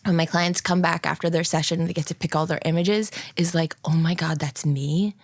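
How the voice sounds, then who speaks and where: A woman's voice: sits low, fairly even intonation, a little fast, no echo. Jersey accent woman, podcast guest, playful n enthusiastic casual chat, dry close mic. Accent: New Jersey.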